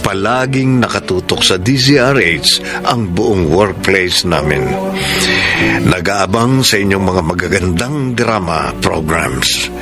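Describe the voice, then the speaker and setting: A man's voice: low voice, lively intonation, takes it slowly. An actor playing a devoted, grateful husband and new father in a Filipino radio drama, speaking with a Filipino accent. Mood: warm, sincere. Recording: radio drama with loud music bed.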